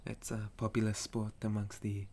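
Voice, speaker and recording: A man voicing a gentle, centuries-old sun elf and retired soldier in an intimate ASMR roleplay, soft and breathy, often slipping into a whisper, with a hesitant storytelling manner. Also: fairly low pitch, monotone, a little hiss or hum, close to an everyday voice. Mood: relaxed, musing. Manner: slow, hesitant, softly chuckling storyteller.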